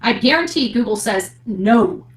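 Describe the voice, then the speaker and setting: A woman's voice: fairly high pitch, expressive, a little slow, rough recording. A woman ranting unscripted to her online audience in a defiant, indignant, emphatic manner. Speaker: online commentator covering court cases.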